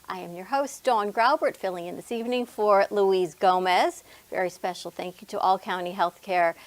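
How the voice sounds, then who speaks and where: A woman hosting a health talk show, welcoming her guest in an upbeat way and asking questions. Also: medium pitch. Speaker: host.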